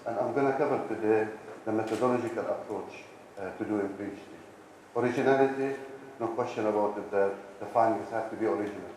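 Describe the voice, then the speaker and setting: Echoey, low-voiced middle-aged man giving a keynote. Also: a little mellow. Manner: emphatic, repeating key words for stress. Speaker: academic keynote speaker, university president. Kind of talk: conference keynote presentation. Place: indoor conference lecture room. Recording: echoey hall, distant, with background hiss.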